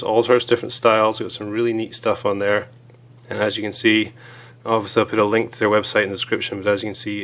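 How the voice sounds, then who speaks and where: A hoarse man with a cold, chatting casually as he reviews small gear items, with many fillers. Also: fairly low pitch.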